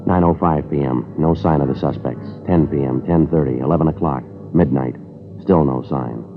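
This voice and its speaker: An actor playing a terse, hard-boiled male police sergeant who narrates a 1950s radio crime drama in a clipped, flat, matter-of-fact way. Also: rumbling low, moderate pace. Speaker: detective narrator. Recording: tinny narrow-band old radio transcription with music.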